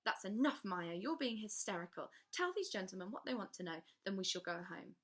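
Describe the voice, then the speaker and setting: A woman's voice: mid-range voice, lively intonation, brisk, a bit bright. A woman voicing a stern older governess who speaks firmly and precisely. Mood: scolding. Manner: firm, commanding, precise.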